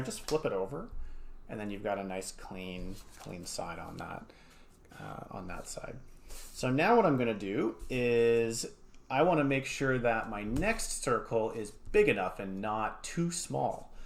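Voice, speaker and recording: A man giving a casual, step-by-step craft tutorial as an art educator, rambling a little with informal asides. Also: mid-range voice. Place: home studio. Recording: clear background noise, small clicks.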